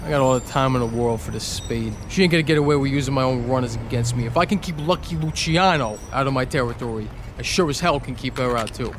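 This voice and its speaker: A man's voice: mid-range voice, fast, few pauses, natural voice. A man playing a tough, menacing 1920s gangster boss in an audio drama, slangy and full of grudge. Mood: bitter, menacing. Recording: noisy idling car and street ambience.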